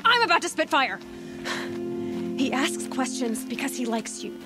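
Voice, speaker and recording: An actress playing a capable, impatient young heroine in a video game, direct and matter-of-fact. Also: female voice, high voice, very animated, moderate pace. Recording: game music behind the voice.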